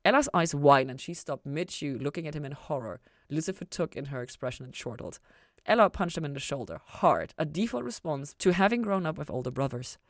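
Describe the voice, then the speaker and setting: Low-voiced woman narrating a story. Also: lively intonation, a little fast, dry recording, studio quality. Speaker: fan fiction reader, podcast host.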